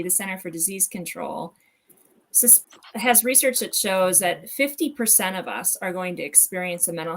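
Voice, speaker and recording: Woman calmly explaining as guest expert. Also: fairly low pitch. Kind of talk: informative explanation.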